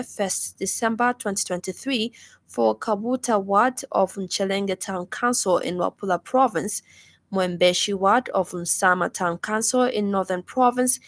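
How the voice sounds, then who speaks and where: A woman news reader with a Zambian accent delivers a formal, scripted radio bulletin. Her voice sits at a middle pitch, and she reads in measured phrases.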